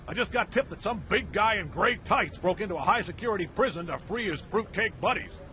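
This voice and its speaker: Sharp, bossy newspaper chief. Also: male voice, sits high, animated, fairly quick, a little hiss or hum. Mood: impatient, bossy. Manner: brusque, barking orders. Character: gruff, demanding male newspaper boss.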